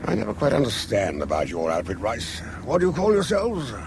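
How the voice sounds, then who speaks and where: An actor playing a gruff, dismissive army colonel who blusters and scoffs in a radio drama. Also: male voice, medium pitch, huge pitch swings, fairly quick, noisy background. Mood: scornful.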